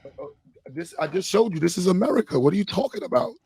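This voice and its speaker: A man hosting a live debate and reading sources aloud, insistent and repetitive, with a slightly hoarse voice. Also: sits high, very animated, fast talker, over a noisy crowd. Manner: insistent, repeats himself. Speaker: debate host.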